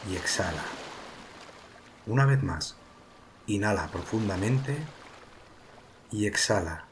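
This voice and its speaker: A man narrating a guided meditation as its guide, speaking calmly and soothingly in slow phrases with pauses. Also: fairly low pitch, slight background noise. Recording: ocean waves behind the voice. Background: sea waves, water ambience.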